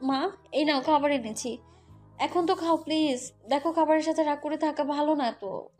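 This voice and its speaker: A woman's voice: sits high, normal speed, darkish tone, soft background music, lightly acted. A woman playing a helpless young mother in a romantic audio story, pleading and submissive.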